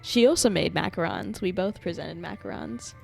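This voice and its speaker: A woman's voice: lowish voice, very animated, normal speed, creaky. A young woman livestreaming a game, commenting casually and playfully, exclaiming often and reading dialogue aloud. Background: game music.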